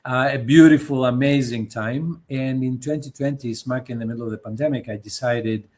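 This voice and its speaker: A man's voice: medium pitch, normal speed, darkish tone. Middle-aged man. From Greece. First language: Greek. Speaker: guest, leadership coach. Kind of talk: conversational interview storytelling.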